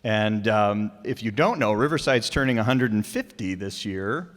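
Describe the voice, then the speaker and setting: A man's voice: on the low side, lively intonation, normal speed. A man making an informal announcement to an audience as a community theater member. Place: community auditorium. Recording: echoey auditorium reverb.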